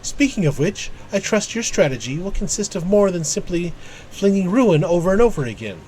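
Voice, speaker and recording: A man's voice: sits high, big pitch swings, fairly quick, a little mellow, a little hiss or hum, voice pushed up, low-quality recording. A man voicing a scholarly, exacting guild mentor from a fantasy game, lecturing a pupil in formal, old-fashioned phrases. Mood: instructive, formal. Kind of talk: reading game quest dialogue aloud.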